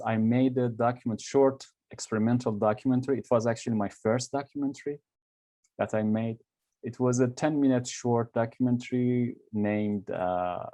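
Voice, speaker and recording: A young man from Iran with an Iranian accent, Persian his first language, answering interview questions in a halting way, often pausing with fillers and restarting sentences. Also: mid-range voice, steady tone, mellow, no room sound. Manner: halting, searching for words with many fillers and restarts. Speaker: graduate film student.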